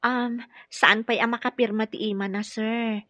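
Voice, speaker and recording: Polite, high-voiced female caregiver. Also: fairly even intonation, unhurried, bright, forceful tone, no room sound. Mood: polite, firm. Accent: Ilocano Filipino. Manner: polite, earnest. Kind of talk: Filipino radio drama acting.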